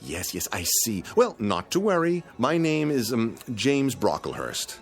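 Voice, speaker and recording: A fussy, pompous freeloader in a radio play. His pitch swoops wildly through elaborately polite, formal phrases, with light music behind him. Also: wildly swooping pitch, unhurried. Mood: flustered, smooth-talking. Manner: overly polite, formal, theatrical. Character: fussy, pompous, penny-pinching male freeloader. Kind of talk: radio drama acting.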